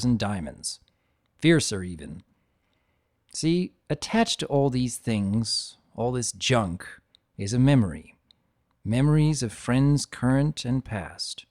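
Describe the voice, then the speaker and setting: An old dragon played by a man tells his story in a mid-pitched voice. He is gentle and nostalgic, speaking with reflective, sentimental care, as in a dramatic storybook reading. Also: lightly acted. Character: aged dragon, gentle, nostalgic, fondly guarding keepsakes.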